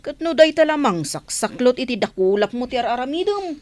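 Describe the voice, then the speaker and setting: A woman's voice: sits high, expressive, brisk, darkish tone, dry recording. A woman playing a teasing village girlfriend. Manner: teasing, mocking.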